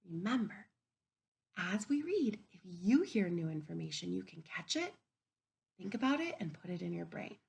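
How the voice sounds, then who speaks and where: A woman teacher reading a picture book aloud to young children, gentle and encouraging, often dropping to a soft whisper. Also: medium pitch, vocal fry. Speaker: kindergarten teacher. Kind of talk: read-aloud storybook lesson for young children.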